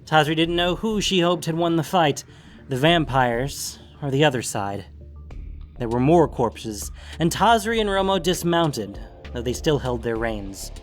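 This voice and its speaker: A man reading scripted story narration with dramatic pauses and deliberate phrasing. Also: fairly high pitch, lively intonation. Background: music.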